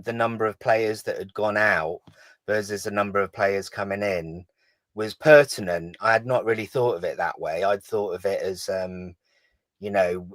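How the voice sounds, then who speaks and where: Male caller from England: slow, hesitant, lowish voice, dry sound. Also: no echo. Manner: slow, hesitant, thinking aloud with fillers. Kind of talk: casual call-in chat.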